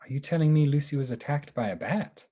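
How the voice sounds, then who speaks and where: Exasperated, husky male doctor. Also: a little high, quick pace, warm tone, highs cut off. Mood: agitated, incredulous. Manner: blunt, impatient, emotional. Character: exasperated male doctor, plain-spoken sceptic, demanding answers. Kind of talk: radio play acting.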